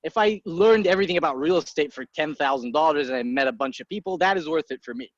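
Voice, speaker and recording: Man, 30s, from Egypt, slightly high voice, blunt casual talk, dry dull recording. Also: dry recording. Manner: blunt, swears casually, quick impulsive asides. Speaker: guest, entrepreneur. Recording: dry muffled podcast audio.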